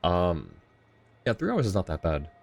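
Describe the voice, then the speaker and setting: A man's voice: sits low, wildly swooping pitch, normal speed, creaky. A man streaming and chatting casually with viewers, laughing often in an easygoing, rambling way.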